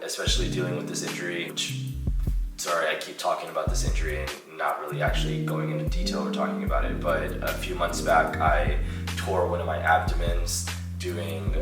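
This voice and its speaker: Low, flat-voiced congested young man. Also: distant mic. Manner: relaxed, rambling, many fillers. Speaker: CrossFit athlete. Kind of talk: casual vlog chat. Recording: echoey room, picked up from afar, loud music.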